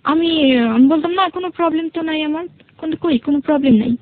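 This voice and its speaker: A woman's voice: high-pitched, brisk, narrow, tinny audio. A woman with a Bangladeshi accent telling her personal story as an interview guest, hesitating and repeating herself to explain. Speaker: radio show guest.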